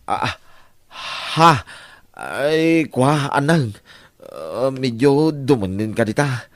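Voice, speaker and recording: A man's voice: medium pitch, very animated, slow, dry recording, clean studio sound. A man acting a lovestruck, flustered, stammering suitor in an Ilocano radio comedy drama, with an Ilocano accent. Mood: flustered, giddy.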